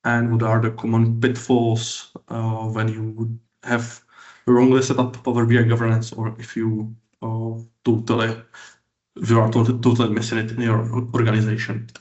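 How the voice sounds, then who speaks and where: A man with a Czech accent gives a technical conference talk in halting, hesitant non-native English, often repeating words. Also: lowish voice, completely flat delivery, a little mellow. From Czech Republic. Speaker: conference speaker, BI consultant and lecturer. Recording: roomy, echoing, slightly dull sound.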